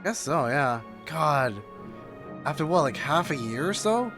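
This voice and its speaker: A man giving casual, rambling reaction commentary on a video game, with halting asides and exclamations. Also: on the high side, very animated, music in the background.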